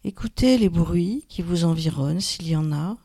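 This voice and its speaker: A woman guides a relaxation meditation in a calm, soothing manner, leaving long pauses. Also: lowish voice, slightly rough. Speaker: yoga nidra guide, host.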